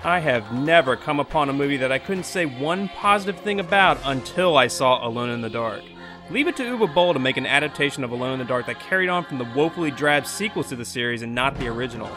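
Animated man delivering scripted game-movie commentary. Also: mid-range voice, fast, over music. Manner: sardonic, emphatic, opinionated. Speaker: video game movie critic, show host. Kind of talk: scripted video essay commentary. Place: small furnished bedroom, indoors.

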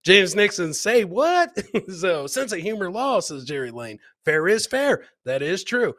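A man's voice: sits high, big pitch swings, unhurried, fairly bright tone, dry recording, studio quality. A middle-aged man hosting a live comedy show, casually commenting on clips and chatting with viewers, joking and repeating his phrases. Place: indoor home studio, webcam livestream.

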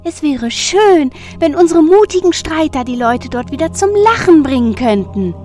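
Woman as a high, childlike goddess. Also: animated, normal speed, warm tone, soft background music. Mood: gleeful. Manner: girlish, gleeful. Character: cheerful, childlike young goddess.

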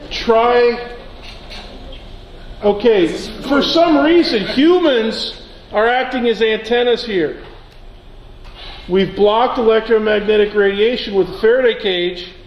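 A man's voice: high-pitched, slow pace, long pauses, muffled recording. A man teaching science through an informal demonstration, giving short instructions in a lecture hall. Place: large lecture auditorium. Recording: echoey lecture hall, some background noise.

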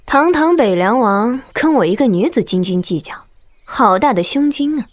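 An actress playing a proud, piqued mature beauty in an audio drama, speaking with sarcasm. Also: female voice, a little high, animated, normal speed, dry recording. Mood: piqued, sarcastic.

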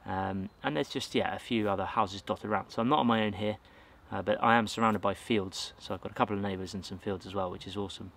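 A man in his thirties with an English accent chats to the camera from a garden. His voice sits fairly low, and he rambles easily, full of fillers and little self-corrections. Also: fairly even intonation, quick pace, vocal fry, few pauses, fairly bright tone. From England. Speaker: outdoors vlogger. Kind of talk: casual talk to camera. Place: outdoors in a garden by a wooden shed.

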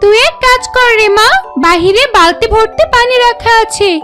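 Casting: actress as short-tempered vixen mother for a kids' cartoon; very high, lively, scolding, faint music behind. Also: moderate pace. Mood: resigned. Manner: shrill, nagging, exaggerated. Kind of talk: children's cartoon voice acting.

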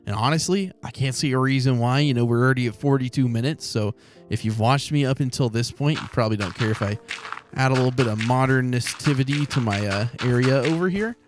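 A man casually commenting on his own gameplay, rambling and correcting himself as he goes, his voice a little hoarse from recording for a long time. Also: medium pitch, fairly quick, faint music behind. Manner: rambling, self-correcting, chatty. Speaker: Let's Play creator.

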